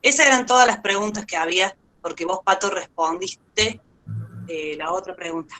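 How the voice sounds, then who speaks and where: A woman's voice: medium pitch, moderate pace, sharp tone, rough recording. A woman lecturer answering audience questions in an online oral surgery webinar, explaining patiently with fillers. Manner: explanatory, with frequent filler and repeated words. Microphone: video call.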